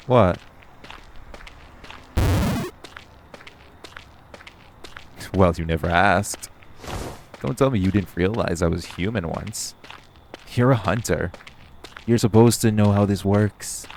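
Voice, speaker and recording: A man's voice: sits low, a little slow, a little mellow, slight background noise. A man playing a cocky, teasing vampire in an audio roleplay, bantering with a hunter in a smug, sardonic, flirty way and swearing freely. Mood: smug, mocking. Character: cocky, teasing, hungry male vampire carrying his hunter rival. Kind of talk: audio roleplay acting, addressed to the listener.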